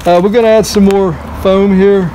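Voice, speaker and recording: A middle-aged man giving a relaxed how-to demonstration in a driveway, thinking aloud with many ums and uhs. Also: high voice, moderate pace, rough recording. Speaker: DIY car-washing vlogger. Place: outdoor driveway by a house. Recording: outdoor recording with running water noise. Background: hose spray and outdoor ambience.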